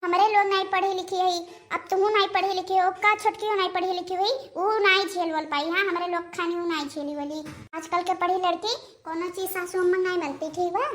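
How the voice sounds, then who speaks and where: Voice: extremely high pitch, steady tone, a little fast, a bit bright. A performer voicing an anxious, deferential village daughter-in-law with an Awadhi accent, pleading and explaining in a family cartoon. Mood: grumbling. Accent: Awadhi, eastern Uttar Pradesh.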